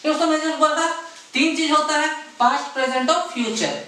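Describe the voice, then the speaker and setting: A man's voice: extremely high pitch, fast talker, sharp tone. A man teaching an exam-prep math lesson, repeating himself, asking rhetorical questions and mixing in English math terms. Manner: repetitive, keeps addressing viewers as friends; mixes English math terms into his talk. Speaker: math tutor. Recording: very echoey room, voice far from the mic.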